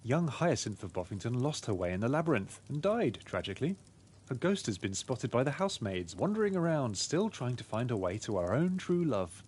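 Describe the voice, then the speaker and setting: A man's voice: medium pitch, very animated, brisk, a little mellow, breathy edge. A man playing a pig detective in a video game, laying out his deductions in an expository, storytelling way. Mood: conclusive. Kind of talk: scripted game dialogue.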